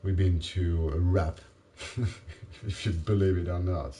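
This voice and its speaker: A man, tired after a late night, rambling haltingly to the camera in a self-mocking way. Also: steady tone, dark tone. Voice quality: deep voice. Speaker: vlogger. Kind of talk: casual rambling monologue.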